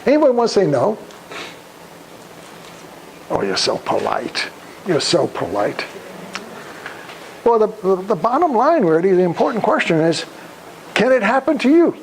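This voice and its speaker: An elderly man from Poland giving a public talk, speaking deliberately in halting phrases and putting questions to his listeners. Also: high-pitched, very animated, gravelly. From Krakow, Poland. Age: over 75. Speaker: guest speaker, Holocaust survivor. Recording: noisy live room recording.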